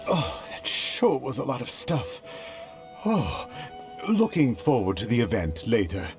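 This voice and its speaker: An easygoing adult male porter in a video game. He sounds offhand and relaxed, and his pitch swings lively up and down. Game music runs underneath. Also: unhurried. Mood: friendly.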